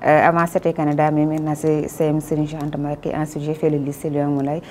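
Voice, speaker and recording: A Senegalese woman being interviewed as a guest, speaking French in a measured, formal way with frequent hesitations; her first language is Wolof. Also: deep voice, monotone, darkish tone, a little hiss or hum. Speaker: guest, publisher and Wolof teacher. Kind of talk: interview answers.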